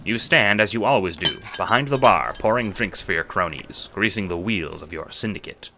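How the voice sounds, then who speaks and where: A man's voice: deep voice, lively intonation, moderate pace, bright, forceful tone, slight background noise. A man narrating a scripted audio drama in the second person, with dramatic storytelling delivery.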